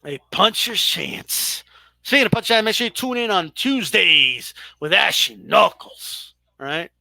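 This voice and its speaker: High, bright-voiced man on a stream. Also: no room sound. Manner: profane, chatty, banters with viewers. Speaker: boxing live-stream host. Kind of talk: casual live commentary to chat.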